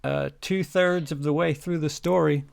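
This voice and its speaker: A man co-host reacting in casual chat, with hesitant, halting phrasing. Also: a little high, steady tone, gravelly, dry recording.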